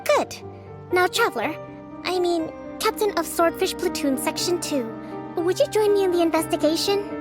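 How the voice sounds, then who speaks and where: A woman playing a composed, gentle young female leader in a video game, speaking with measured, polite authority. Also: very high voice, music in the background. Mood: pleased, encouraging.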